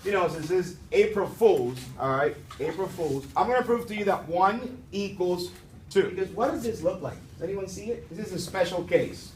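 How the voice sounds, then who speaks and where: A playful male teacher works the room with quick questions, his voice high for a man. He is picked up from a distance in an echoey classroom with faint room noise.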